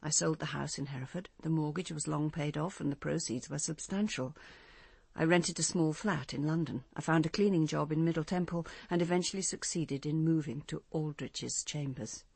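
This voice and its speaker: An older grandmother in a radio drama, husky and creaky, speaking close and dry. She is measured and confessional, with grief hardened into cold vengeance. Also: deep voice, darkish tone, no room sound, exaggerated voice. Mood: coldly resolute. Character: bitter grieving grandmother, coldly set on revenge.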